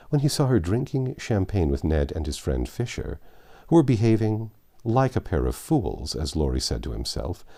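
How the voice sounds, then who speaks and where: A man's voice: fairly low pitch, warm tone. A man narrating a classic novel expressively as an audiobook reader.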